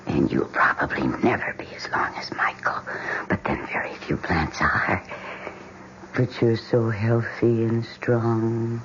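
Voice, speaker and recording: An actress playing a tender, excitable young newlywed wife in an old-time radio drama, gushing and affectionate, moving between hushed and excited. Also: female voice, a bass voice, unhurried, in a whisper, heavily acted. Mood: soft, doting. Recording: muffled, noisy old radio broadcast.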